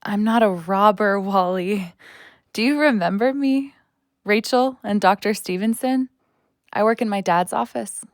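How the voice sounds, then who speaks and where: A woman's voice: mid-range voice, unhurried, no room sound, studio quality, lightly acted. A woman playing an earnest, wounded young woman who is friendly and seeks connection, confiding in an audio drama. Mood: friendly, gentle.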